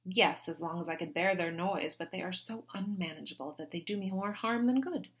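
A woman reading a novel aloud, voicing a petulant, self-pitying young married woman who complains loudly. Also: fairly low pitch, a little fast, lightly acted. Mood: aggrieved. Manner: whining, complaining, loud.

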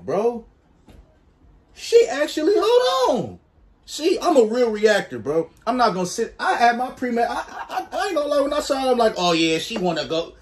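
Animated young man reacting on a headset. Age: 20s. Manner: animated, jumps to high exclamations, slangy. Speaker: reaction streamer. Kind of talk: casual reaction commentary. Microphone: headset mic.

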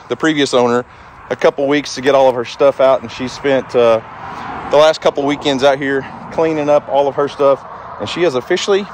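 This is a man in his thirties with an average-pitched voice. He talks to viewers casually as he walks around, rambling in a folksy, homespun way. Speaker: homestead vlogger, host. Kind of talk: casual walkthrough vlog, talking to viewers. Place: outdoors in a yard, then inside a cluttered empty house. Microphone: handheld camera at arm's length.

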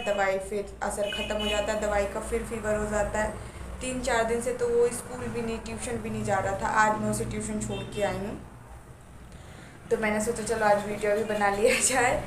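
A young woman with a slightly high voice and a sore throat chats casually to her viewers, apologetic and friendly. She records on a phone camera in a small, echoey room at home. A faint hum of noise sits behind her. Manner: chatty and apologetic, talks directly to viewers. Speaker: vlogger.

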